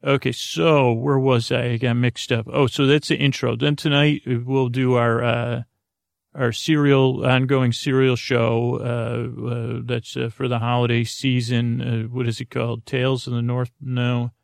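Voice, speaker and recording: A man's voice: mid-range voice, level tone, unhurried, creaky, no room sound, studio quality. A man hosting a sleep podcast, rambling through a meandering bedtime monologue with many restarts and self-interruptions.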